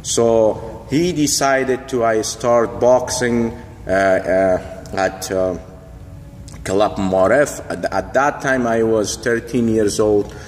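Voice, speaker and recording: A man's voice: fairly low pitch, slow. A man from Afghanistan, a boxing coach, telling his life story to a phone camera in a large indoor gym, hesitant with many fillers and phrasing. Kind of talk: casual personal storytelling to camera. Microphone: phone held in mirror selfie. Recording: long gym reverb, faint background hum.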